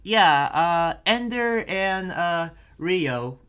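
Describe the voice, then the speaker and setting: A man's voice: sits high, animated, extremely slow, a bit bright, exaggerated voice. Man playing a rambling, earnest young town preacher. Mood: explaining, conversational. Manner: rambling, explanatory, hesitant phrasing. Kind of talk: improvised anime Minecraft roleplay. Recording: background music behind the voice.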